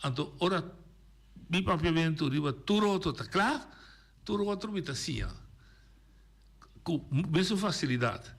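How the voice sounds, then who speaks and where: A man hosting a radio call-in discussion, talking in an animated, emphatic way with many restarts. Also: a little high, normal speed, gravelly, long pauses. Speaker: radio host. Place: radio studio.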